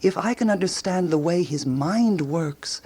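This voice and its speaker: A woman acting in a radio drama as a ruminative amateur detective who theorizes aloud with deliberate, rhetorical phrasing and dramatic pauses. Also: lowish voice, lively intonation, darkish tone, slightly rough. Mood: intrigued, brooding.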